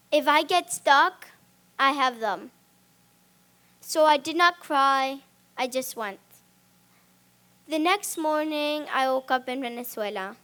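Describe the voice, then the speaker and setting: Young girl from Spain, stage talk, high voice, slow and paused. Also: a bit bright. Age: child, 11. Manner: slow, deliberate delivery with dramatic pauses. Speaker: speaker giving a talk. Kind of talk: memorized public talk. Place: stage before a live audience.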